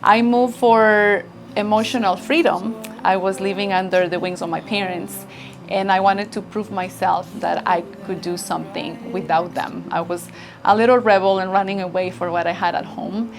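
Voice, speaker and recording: Woman founder, 30s, from Ecuador, warm grateful interview talk, music under her. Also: medium pitch, fairly even intonation, normal speed, vocal fry. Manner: earnest, grateful storytelling. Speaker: business founder. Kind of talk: interview answers. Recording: background music bed.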